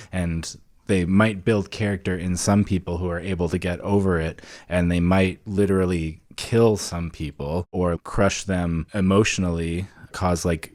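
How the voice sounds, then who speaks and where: A man co-hosting a podcast, talking in a reflective, conversational way with earnest, deliberate reasoning. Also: deep voice, darkish tone, dry recording, clean studio sound. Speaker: podcast co-host.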